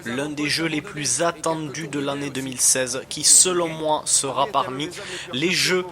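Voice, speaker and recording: A man giving casual, halting commentary as he plays and reviews a game. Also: a little high, steady tone, a crowd in the background. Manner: hesitant, halting.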